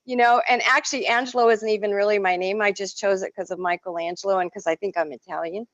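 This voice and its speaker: A woman whose first language is French, an artist telling stories in an effusive, expressive way as an interview guest. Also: mid-range voice, fast talker, no room sound, dull audio. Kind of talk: casual interview.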